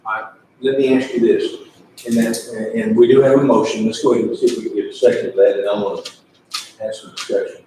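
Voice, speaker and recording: A man presides over an informal meeting. He talks in a folksy, halting way and circles back over his words. His voice is distant from the microphone, in a strongly echoing room with faint noise. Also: on the low side, steady tone, warm tone. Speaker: judge presiding over county commissioners meeting. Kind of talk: informal public meeting discussion.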